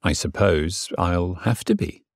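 An actor playing a lovesick, submissive gentleman in an audiobook drama, earnest and measured, with a husky voice. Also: low-pitched, dry recording, studio quality. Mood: earnest, yielding. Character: Severin, lovesick, submissive gentleman narrating his passion. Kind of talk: dramatised first-person audiobook narration.

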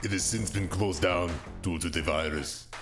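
A comedy-skit retired killer robot, put on by a man, stiffly formal and easily indignant. The voice is gravelly and declamatory, with music clearly behind it. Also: low voice, normal speed. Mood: earnest, formal.

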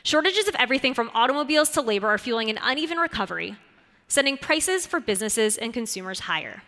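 Bright-voiced woman giving a keynote. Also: on the high side, sing-song, quick pace. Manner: clear, polished delivery with rising emphasis on key points. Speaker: conference keynote speaker. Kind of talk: scripted keynote presentation. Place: large conference stage. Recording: reverberant conference hall.

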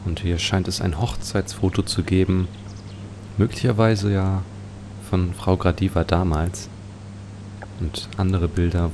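A man commenting casually on a video game, musing aloud as he describes what he sees. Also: low-pitched. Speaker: let's play host. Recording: clearly noisy home recording.

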